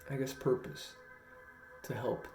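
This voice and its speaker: A young man talking reflectively to camera in a slow, halting, earnest way with long pauses. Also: a little mellow. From Guam. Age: young adult. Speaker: storytelling vlogger. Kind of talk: reflective personal talk to camera. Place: small room at home, indoors. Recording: roomy echo, faint music.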